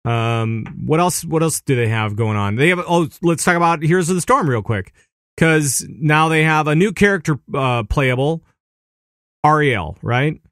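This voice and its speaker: A man's voice: fairly high pitch, expressive, moderate pace, fairly bright tone, no room sound, clean studio sound. A man hosting a podcast, chatting casually in a rambling, joking way. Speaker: podcast host.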